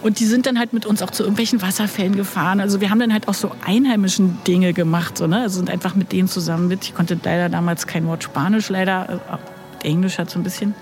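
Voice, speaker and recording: A woman's voice: medium pitch, fairly even intonation, fast, darkish tone, soft background music. A woman, a guest telling stories in a casual interview, chatty and full of fillers. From Berlin. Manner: chatty storyteller with many fillers. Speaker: guest, former flight attendant.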